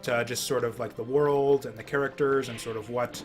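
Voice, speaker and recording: A man commenting casually on a game he is playing. His voice sits at an average pitch, and he rambles haltingly, repeating himself, with faint music behind. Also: fairly even intonation. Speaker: game streamer. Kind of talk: casual let's play commentary.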